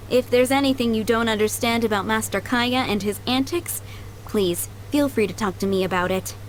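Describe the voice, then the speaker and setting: A woman voicing a kindly, middle-aged head maid in a video game, polite, doting and gently chatty. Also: a little high, a little hiss or hum, lightly acted, poor audio. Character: kindly motherly head maid, fondly remembering boys she raised. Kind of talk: video game character acting. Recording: background music under the voice.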